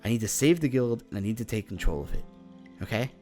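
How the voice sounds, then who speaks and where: A man playing a confident young demon mage in an improvised Minecraft fantasy roleplay, speaking in a halting, conversational way and often checking for agreement. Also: fairly low pitch, expressive, mellow, lightly acted. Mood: earnest, explaining. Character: confident young male demon mage, casual, secretive, determined. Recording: voice over background music.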